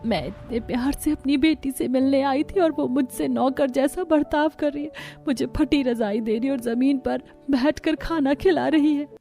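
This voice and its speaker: A woman's voice: high-pitched, normal speed, hardly any pauses, dark tone, soft background music. A woman voicing a meek, hurt, poor elderly mother in a cartoon story, pleading and plaintive. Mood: hurt, tearful. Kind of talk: Hindi cartoon story dialogue.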